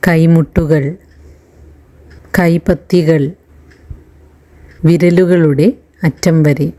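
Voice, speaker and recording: Woman doing guided meditation, super slow n calm, soft mellow tone, long pauses. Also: low-pitched. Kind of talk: slow guided relaxation narration.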